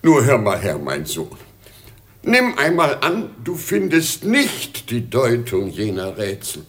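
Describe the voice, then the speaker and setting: A man's voice: mid-range voice, wildly swooping pitch, slow, a bit bright, full character voice. A man playing a pitying, weary old emperor in a theatrical German radio play, plaintive and sighing. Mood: fatherly, grave.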